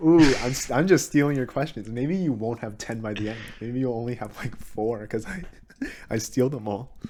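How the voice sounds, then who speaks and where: A man's voice: medium pitch, moderate pace, a little mellow, a little hiss or hum. A young man hosting a podcast in casual chat, upbeat and laughing often, with lots of fillers. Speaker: podcast host.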